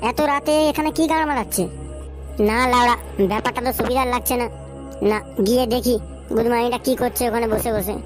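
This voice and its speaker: মহিলা কণ্ঠে চড়া গলার বখাটে প্রেমিক ছোকরা, পেছনে জোরালো মিউজিক. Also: female voice, a little high, fairly even intonation, brisk, soft background music, lightly acted. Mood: blustering. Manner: crude, swaggering, repetitive. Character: foul-mouthed cocky young boyfriend, boastful yet cowardly. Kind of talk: comic cartoon dubbing.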